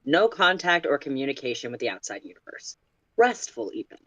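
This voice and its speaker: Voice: medium pitch, very animated, a little fast, bright, forceful tone, dry recording, highs cut off. A narrator reads fiction aloud with expression. The voice is mid-range and recorded very dry, with little room around it. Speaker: story reader.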